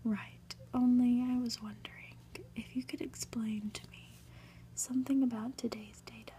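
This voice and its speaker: A woman voicing a bumbling, befuddled male office boss from a storybook in a breathy whisper, fussy and politely muddled. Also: a little high, flat delivery, normal speed, warm tone, slight background noise, natural voice. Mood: flustered.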